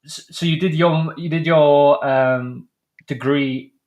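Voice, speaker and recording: Male host, fairly high pitch, friendly, stumbles through his questions. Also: fairly even intonation, slow pace, darkish tone, dull audio. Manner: friendly, rambling questions with many restarts. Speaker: podcast host. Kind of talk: casual interview.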